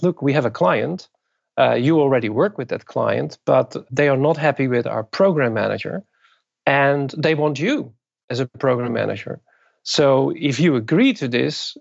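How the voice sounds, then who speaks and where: Gravelly Dutch-accented man, dry lo-fi sound. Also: mid-range voice, no room sound. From Netherlands. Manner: thoughtful, pauses with many fillers. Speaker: guest. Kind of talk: interview conversation.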